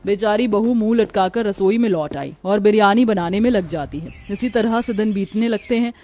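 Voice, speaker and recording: A woman narrating a scripted cartoon story in an expressive storytelling manner. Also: medium pitch, normal speed, soft background music.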